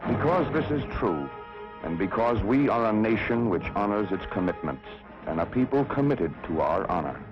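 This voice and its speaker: A deep-voiced American man delivers solemn propaganda film narration from the 1960s. His declamatory reading rises and falls with strong emphasis. The sound is a dull old film soundtrack with music clearly behind him. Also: very animated, moderate pace. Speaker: documentary narrator.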